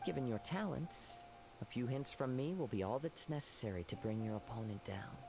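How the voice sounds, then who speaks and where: Casting: serene young samurai poet for a game, formal, measured, fry-laced voice, music underneath. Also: male voice, medium pitch, animated. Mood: courteous, composed. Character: calm, poetic young wandering samurai man. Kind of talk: video game voice acting.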